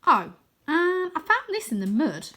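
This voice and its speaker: A woman voicing an excitable, boastful, matey boy who is half wizard and half ninja in a children's storybook, with dramatic delivery. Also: high-pitched, a little slow, pitched above the performer's own voice, heavily acted. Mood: confiding, urgent. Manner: dramatic, switching between shouts and whispers. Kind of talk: children's storybook character reading.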